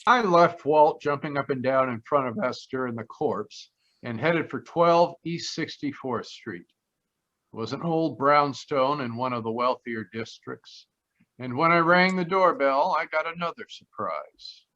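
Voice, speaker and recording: Man to play a smooth-talking, teasing radio gumshoe, mid-pitched, unflappable, on slightly muffled call audio. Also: unhurried, fairly bright tone, natural voice. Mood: narrating. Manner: breezy, teasing. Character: wisecracking, flirtatious male private detective, cool and unflappable. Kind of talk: old-time radio detective drama acting. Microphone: video call. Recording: dull video-call audio.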